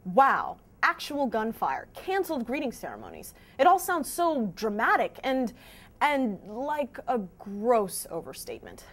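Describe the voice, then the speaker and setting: A woman's voice: on the high side, sing-song, a little slow. A woman hosting a scripted news explainer, presenting to viewers in an emphatic, wry way. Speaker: host of a fact-checking news vidcast.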